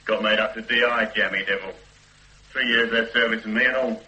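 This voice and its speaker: A man's voice: fairly low pitch, fairly even intonation, a little fast, pushed and bright, dull audio. A man playing a jaded, grumbling police sergeant in a radio drama, wry and matey. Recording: roomy radio drama recording.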